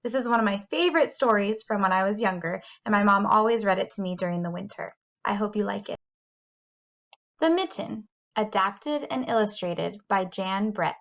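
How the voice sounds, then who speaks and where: A woman reading a storybook aloud for children in an expressive, measured way. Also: mid-range voice, highs cut off. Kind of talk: read-aloud storybook narration.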